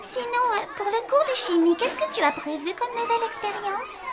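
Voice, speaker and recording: A woman voicing an expressive youngster in a French children's cartoon dub. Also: very high-pitched, a little fast. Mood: puzzled.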